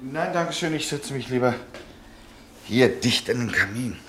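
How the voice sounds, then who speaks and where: A man's voice: medium pitch, animated, a little slow, fairly bright tone. An actor playing a lost, courteous male traveller in a German radio drama, polite and hesitant in manner. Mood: relieved, courteous. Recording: noisy outdoor street ambience behind voice.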